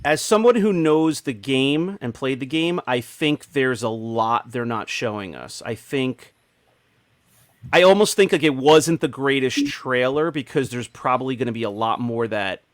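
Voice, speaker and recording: A man's voice: mid-range voice, expressive, measured pace, no echo. A man hosting a casual panel discussion. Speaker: podcast host.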